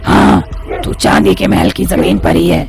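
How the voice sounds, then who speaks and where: A man voicing a merciless female palace witch with a gravelly voice, threatening and taunting in a cartoon horror story. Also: lowish voice, big pitch swings, unhurried, over music, heavily acted. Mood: menacing.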